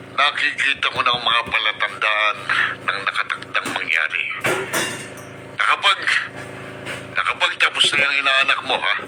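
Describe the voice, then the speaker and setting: A man's voice: medium pitch, close to an everyday voice. Actor as a bitter grumbling father, noisy broadcast. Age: middle-aged. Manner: grumbling, agitated, rhetorical questions. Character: bitter resentful working-class father, suspicious of his son. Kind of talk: radio drama acting.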